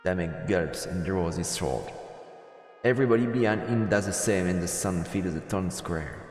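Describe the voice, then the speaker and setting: A man's voice: low voice, moderate pace, darkish tone, roomy sound, in a large hall. A man streaming a visual novel, reading the narration aloud as dramatic storytelling. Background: game music.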